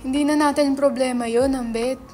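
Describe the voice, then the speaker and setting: A woman's voice: high-pitched, fairly even intonation, moderate pace, music in the background, close to an everyday voice. A woman with a Filipino accent acting a brash, sarcastic, money-minded young entrepreneur in a dramatic reading, mixing English into Tagalog. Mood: dismissive, teasing. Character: brash, money-minded male website entrepreneur, teasing his friend. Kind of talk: dramatic reading of a stage script.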